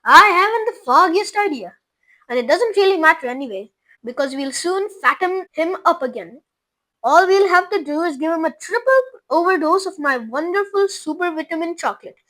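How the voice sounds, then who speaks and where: A woman voicing an eccentric, confident male candy maker in a storybook reading, brisk and offhand. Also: very high-pitched, lively intonation, pushed and bright, pitched above the performer's own voice, full character voice, low-quality recording. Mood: breezy.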